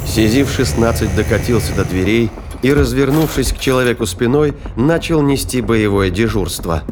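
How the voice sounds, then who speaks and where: A man narrating a scripted audio drama in a measured, literary storytelling manner. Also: medium pitch, lively intonation, noisy background.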